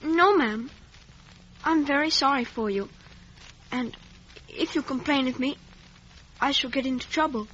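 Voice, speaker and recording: A woman's voice: high voice, measured pace, pauses often, fairly bright tone. A performer, heard as a woman, playing a timid, polite young boy with meek, hesitant speech in a 1950s radio drama. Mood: timid, earnest. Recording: dull, noisy vintage radio broadcast.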